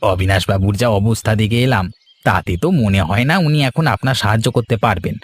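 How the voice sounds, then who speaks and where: A man's voice: on the low side, moderate pace, breathy edge. A man voicing a greedy, sly village trader in a cartoon fairy tale, with a glib, persuasive, scheming way of talking. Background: background music.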